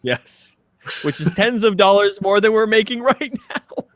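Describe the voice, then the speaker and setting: A man's voice: high voice, lively intonation, unhurried, a bit bright, no echo. A man co-hosting a podcast, chatting casually and doing mock-dramatic readings in an amused, rambling way. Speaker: podcast co-host.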